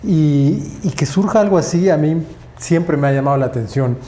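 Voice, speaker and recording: A man's voice: on the high side, lively intonation, moderate pace, warm tone, reverberant. A man hosting a podcast interview, attentive and affirming. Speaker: podcast host. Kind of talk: interview conversation.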